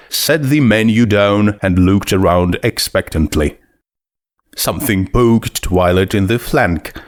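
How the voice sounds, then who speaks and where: A man's voice: on the low side, no room sound, studio quality. A man reading a scripted story aloud as narrator. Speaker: narrator of a fan fiction reading.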